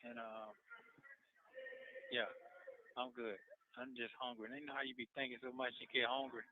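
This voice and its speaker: A man's voice: medium pitch, sing-song, normal speed, vocal fry. An American man chatting casually over a jail phone, often repeating short phrases. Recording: noisy narrowband jail phone line.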